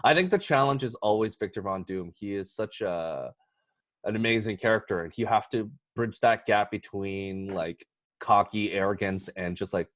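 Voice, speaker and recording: A man hosting a casual podcast discussion, talking in an animated, teasing, bantering way. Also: fairly low pitch, moderate pace, dry recording. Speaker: podcast host.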